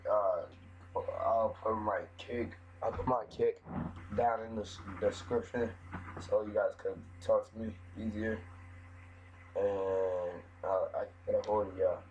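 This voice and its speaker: Hoarse young man with a cold. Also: lowish voice, steady tone, a little slow, a little mellow. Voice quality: rambling, many restarts. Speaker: YouTuber modding a game. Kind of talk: casual gameplay commentary. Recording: dull lo-fi mic with faint noise.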